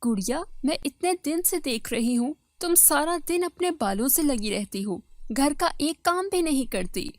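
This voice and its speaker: A woman's voice: sits high, animated, a little slow, few pauses, dry recording, clean studio sound. A woman voicing a jealous, wounded first wife in an emotional, protesting way for a dramatized story. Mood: annoyed.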